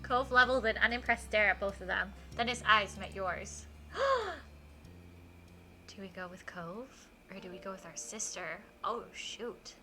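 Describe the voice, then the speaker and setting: A woman's voice: medium pitch, steady tone, measured pace, bright, forceful tone, faint music behind. A woman live streamer reading a visual novel aloud expressively and chatting casually, with enthusiastic, gushing reactions.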